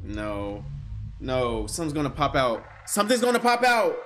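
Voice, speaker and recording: A man's voice: mid-range voice, big pitch swings, moderate pace, a bit bright, faint music behind. A man in his twenties giving casual, slangy, exclamatory reaction commentary as a video host. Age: 20s. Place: small bedroom, indoors.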